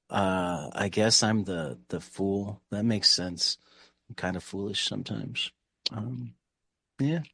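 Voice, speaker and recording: A man's voice: on the low side, takes it slowly, vocal fry, bright, forceful tone, breathy edge, no echo, clean studio sound, full character voice. A man playing a boastful self-styled genius who is also an insecure, stammering fool in a tabletop game. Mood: sheepish, deflated. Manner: indignant, stammering, self-deprecating.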